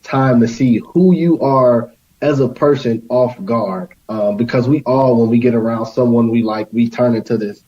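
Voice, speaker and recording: A young man talks as a guest in a casual but earnest discussion. His voice sits at an average pitch, and the recording is a bit muffled and lo-fi. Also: normal speed, hardly any pauses, mellow. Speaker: roundtable guest. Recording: slightly dull, lo-fi sound.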